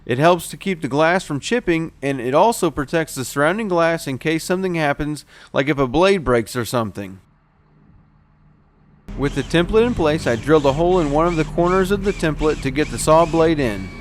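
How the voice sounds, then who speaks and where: A man's voice: on the high side, animated, moderate pace, slight background noise. A man narrating a step-by-step boat repair how-to as the show's technician host. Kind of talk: explanatory how-to voice-over narration.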